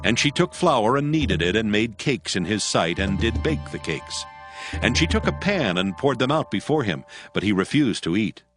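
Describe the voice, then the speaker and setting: A man narrating scripture from a script in a measured, solemn manner. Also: fairly low pitch, very animated, over music. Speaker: narrator of a dramatized Bible.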